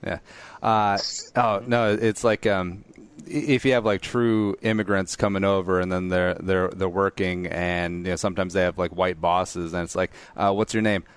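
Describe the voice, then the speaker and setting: A man's voice: low-pitched, flat delivery, normal speed, clear, resonant tone. A man hosting a podcast, joking and rambling in a loose, self-deprecating chat. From Korea, raised in southeast Minnesota. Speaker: podcast host. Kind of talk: casual interview chat.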